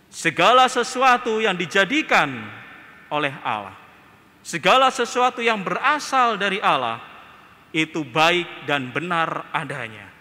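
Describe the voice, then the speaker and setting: Slow, animated young priest preaching. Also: male voice, fairly high pitch. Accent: Indonesian. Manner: slow, emphatic preaching with rising and falling phrases. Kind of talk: homily at Mass. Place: church sanctuary, at a lectern. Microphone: gooseneck lectern mic. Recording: reverberant church.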